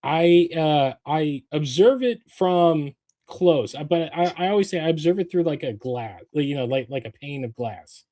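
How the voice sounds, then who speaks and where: Male podcast host, nasal and stuffy, animated showman delivery, dry close mic. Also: a little high, moderate pace, no echo. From California. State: stuffy from a recent head cold. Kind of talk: casual podcast chat.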